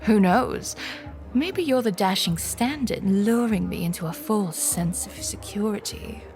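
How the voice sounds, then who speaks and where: A woman's voice: medium pitch, unhurried, a little mellow, faint music behind, close to an everyday voice. Woman acting a wry, flustered young woman. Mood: sardonic, bantering. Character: anxious, sardonic young woman, broke and flustered, defiant underneath. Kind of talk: first-person dramatic narration and dialogue.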